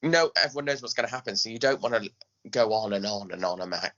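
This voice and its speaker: A man's voice: lowish voice, animated, fast talker, sharp tone, dull audio. A man chatting casually as an interview guest, speaking emphatically and often acting out little asides. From England. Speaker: podcast guest, pantomime director.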